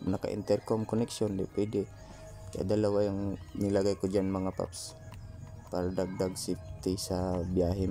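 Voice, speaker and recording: A man's voice: lowish voice, mellow. A man with a Filipino accent narrating a casual how-to vlog, talking informally to viewers as his buddies. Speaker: vlogger.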